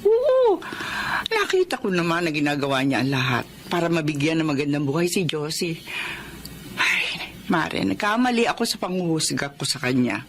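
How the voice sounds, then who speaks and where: An actress playing a warm middle-aged mother-in-law in a Filipino radio drama, expressive and earnest, with drawn-out phrasing. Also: sits low, a little hiss or hum. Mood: approving.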